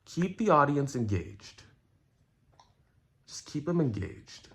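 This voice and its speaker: A man who creates online content, talking casually and earnestly to his viewers in a halting way, repeating phrases for emphasis. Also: on the high side, sing-song. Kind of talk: casual explanatory rant to viewers.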